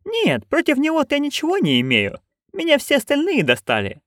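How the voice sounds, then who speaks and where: A storyteller voicing a chatty, coarse, bawdy old witch woman who rambles loudly. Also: sits high, huge pitch swings, brisk, no room sound, clean studio sound, voice pushed up, exaggerated voice. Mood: gossipy.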